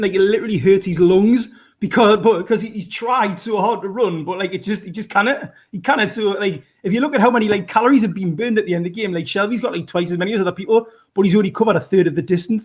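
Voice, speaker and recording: A man with a Geordie accent giving his football opinions in casual podcast chat, animated, often restarting his sentences. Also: high-pitched, breathy edge. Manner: animated, self-interrupting, many restarts. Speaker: podcast regular.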